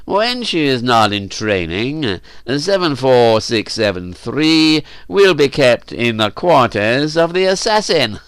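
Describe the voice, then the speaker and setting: A man voicing a commanding slave-house master in an audiobook, deliberate and imperious with a coolly mocking edge. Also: mid-range voice, sing-song, a bit bright, smooth, no echo, pitched above the performer's own voice, exaggerated voice. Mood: slyly mocking.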